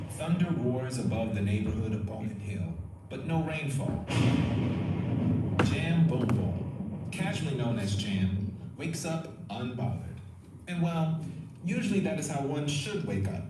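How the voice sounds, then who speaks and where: A man's voice: medium pitch, expressive, measured pace, long pauses, noisy background, natural voice. A man narrating an audio play as a wry, dramatic storyteller. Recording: echoey, distant livestream playback. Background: loud music.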